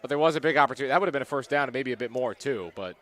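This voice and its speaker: A man's voice: medium pitch, expressive, racing, a bit bright. A man giving easygoing, chatty color commentary on a live football game. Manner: easygoing, chatty analysis with filler phrases.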